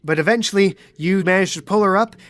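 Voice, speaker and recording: A woman's voice: mid-range voice, quick pace, a little hiss or hum. A woman narrating a tabletop roleplay podcast as game master.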